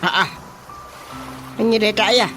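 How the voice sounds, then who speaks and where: A man playing an easily flustered village chairman in a radio drama comedy, with an Ilocano accent and exclamatory, drawn-out reactions. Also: high voice, steady tone. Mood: flustered, questioning. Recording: music under the voice.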